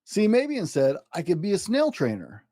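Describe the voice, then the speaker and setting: Man playing an excitable, boastful eight-year-old schoolboy. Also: sits high, moderate pace, no echo, heavily acted. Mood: eager, dreamy. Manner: excitable, grandiose, theatrical. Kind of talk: animated rhyming picture-book storytelling.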